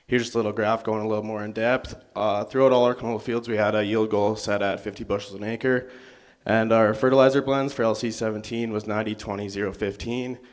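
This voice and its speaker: A man's voice: lowish voice, fairly even intonation, a little fast. A young man from Saskatchewan, presenting crop figures in a class talk and trailing into fillers when he loses his place. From Saskatchewan, Canada. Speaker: college student presenter.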